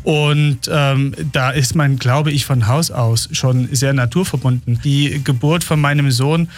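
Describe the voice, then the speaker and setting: A man with a Thuringian accent, speaking relaxed with hesitant fillers as an interviewed guest. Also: mid-range voice, measured pace. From Thuringia, Germany. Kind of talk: casual interview answers.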